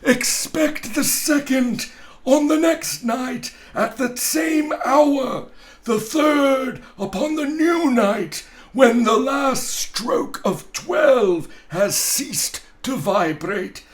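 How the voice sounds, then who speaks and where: A man voicing a mournful ghost in a storybook reading, delivering solemn warnings in slow, wailing, drawn-out proclamations. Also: very high voice, pitched above the performer's own voice, full character voice.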